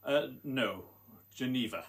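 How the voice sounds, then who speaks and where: A man's voice: mid-range voice, takes it slowly. A man acting a haunted, formal scientist telling his tragic tale in a radio drama. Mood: genial, guarded. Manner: formal, brooding, theatrical.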